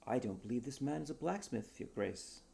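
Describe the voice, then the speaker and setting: A man voicing a polite, learned English gentleman writer in a storybook reading, formal and earnest. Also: medium pitch, expressive, a little fast, gravelly. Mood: patient, formal.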